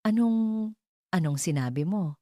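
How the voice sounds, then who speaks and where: A woman's voice: fairly low pitch, expressive, unhurried, dark tone, dry recording, studio quality. A woman voicing a remorseful man who pleads earnestly with his love in a dramatic love story. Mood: stunned.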